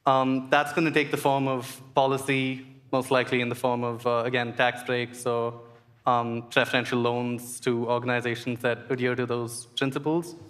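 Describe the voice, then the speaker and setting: A young man answering at length as a panel guest, earnest and measured with frequent fillers. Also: mid-range voice, level tone, fairly bright tone. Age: young adult. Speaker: essay competition finalist.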